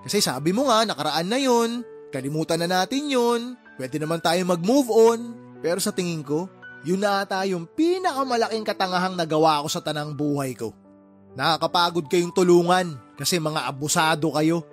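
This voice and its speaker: Indignant hardworking brother, high male voice, confrontational, radio drama, music underneath. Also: expressive, moderate pace. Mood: bitter, reproachful. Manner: indignant, forceful, emotionally confrontational. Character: fed-up, hardworking man scolding his freeloading older sister.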